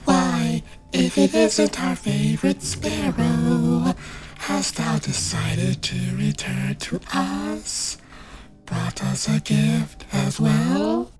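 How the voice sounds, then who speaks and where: A menacing dark spirit lord, acted by a woman, speaks to mortals with imperious, archaic grandeur. The delivery is extremely drawn out and rather high, with sweeping rises and falls. Clear music plays behind this horror fantasy performance. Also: very animated, exaggerated voice. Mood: haughty, beckoning. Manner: archaic, imperious, slow and deliberate speech. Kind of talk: scripted horror fantasy ASMR roleplay.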